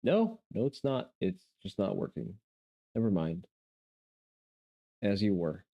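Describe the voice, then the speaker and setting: A man's voice: on the low side, wildly swooping pitch, slow pace, creaky, dull audio. A man hosting a casual chat stream and reacting to videos, with sarcastic, profane ranting.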